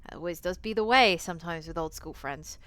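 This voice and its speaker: Low-voiced woman streaming a story game. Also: a little fast, fairly bright tone, no room sound. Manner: swears casually, playful asides to chat. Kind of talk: live stream commentary and reading visual novel aloud. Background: eerie game music.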